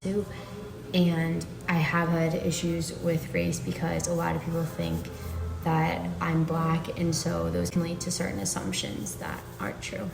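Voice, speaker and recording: A woman's voice: lowish voice, a little slow, gravelly. A nineteen-year-old woman carefully answering personal questions. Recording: echoey room with background noise.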